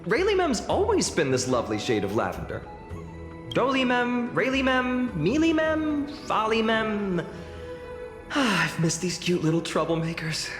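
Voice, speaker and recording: An actor voicing a dashing, sentimental young man in a fantasy game, with a melodramatic, nostalgic manner. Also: medium pitch. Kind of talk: fantasy video game voice acting. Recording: echoey reverb, music behind.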